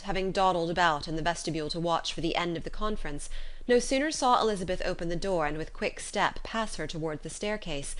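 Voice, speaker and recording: A woman reading literary narration as an audiobook, measured and articulate. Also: fairly low pitch, a bit bright. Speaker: audiobook reader.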